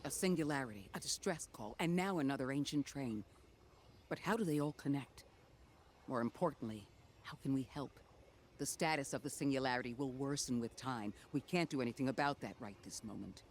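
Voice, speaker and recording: An actor voicing a calm, analytical male robot companion in a video game, speaking in a measured, clipped and precise way, concerned and protective of the player. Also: mid-range voice, slight background noise, lightly acted. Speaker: companion guide character.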